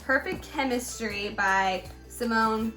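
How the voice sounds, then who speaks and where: A woman's voice: fairly high pitch, slow pace, bright, forceful tone, reverberant, soft background music. A young American woman co-hosting a book show in casual, enthusiastic chat with a playful, joking, slangy manner.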